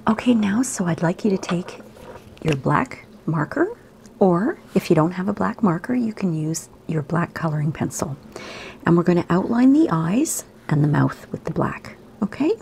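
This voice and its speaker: A woman, slightly hoarse today, gently talking kids through a drawing lesson in a soft, half-whispered voice. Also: on the low side, expressive, moderate pace, slight background noise. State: raspy, slightly sore throat. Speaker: art teacher for kids. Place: home room by a window.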